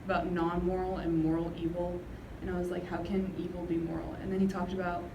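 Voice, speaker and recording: A woman's voice: on the low side, little pitch movement, a little fast. A young adult woman talking casually and reflectively in a group. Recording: echoey room with background noise.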